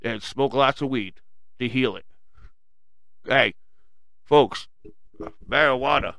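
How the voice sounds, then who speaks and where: A man's voice: medium pitch, slow pace, gravelly, a bit bright, no echo. A man with a strong Long Island, New York accent, rambling casually to his listeners as a solo host in a blunt, profane, slangy way. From Long Island, New York, United States. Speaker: podcast host addressing listeners.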